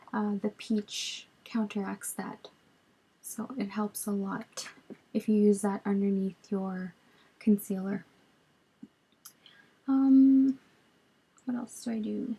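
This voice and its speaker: A woman in her twenties with a raspy voice from a cold, chatting casually through a makeup tutorial, recorded on a webcam in a small bedroom. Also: medium pitch, low-quality recording. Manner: relaxed, chatty, lots of ums while applying makeup. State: hoarse from a cold. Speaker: beauty vlogger. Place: small bedroom with storage shelves, indoors. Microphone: webcam at arm's length.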